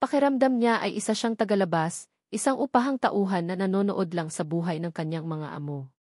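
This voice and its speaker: A woman's voice: mid-range voice, brisk, a little mellow, no room sound, studio quality. A woman narrating a scripted romantic drama story in a dramatic storytelling style.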